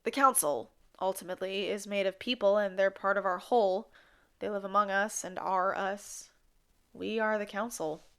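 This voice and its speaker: An actress playing a rambling radio co-host in an audio drama, wary of authority and prone to arguing with herself. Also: female voice, medium pitch, fairly even intonation, moderate pace, fairly bright tone, smooth, natural voice. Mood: conflicted. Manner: impassioned, argues back and forth with herself.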